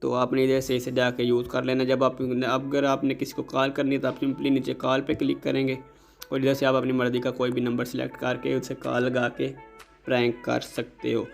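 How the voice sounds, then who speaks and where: A man's voice: medium pitch, monotone, normal speed, creaky, faint music behind. A man hosting a casual app tutorial, politely addressing his viewers directly as he explains each step. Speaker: host of a tech tutorial channel. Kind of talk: casual scripted-style app tutorial narration.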